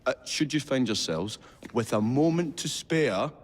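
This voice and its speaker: A man's voice: mid-range voice, lively intonation, a little slow, noisy background, natural voice. An actor playing a nervous, eccentric young male inventor who hesitates with many ums and uhs, in a game cutscene. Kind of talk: video game cutscene acting.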